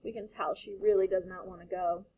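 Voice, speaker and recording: A woman teacher reading a picture book aloud to children, expressive and pausing to explain. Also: medium pitch, breathy edge, muffled recording.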